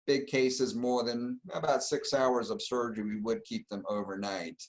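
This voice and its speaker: Middle-aged guy from argentina chatting in an interview, mid-pitched voice, relaxed storytelling. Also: normal speed. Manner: explanatory, easygoing storyteller. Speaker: plastic surgeon, guest. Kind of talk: casual interview.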